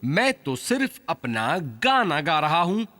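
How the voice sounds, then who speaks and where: Excitable show-off cartoon scientist, high voice swooping around, theatrical. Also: male voice, a little slow, no echo, studio quality, exaggerated voice. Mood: boastful. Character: excitable, boastful male cartoon scientist and adventurer. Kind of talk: Hindi cartoon dub acting.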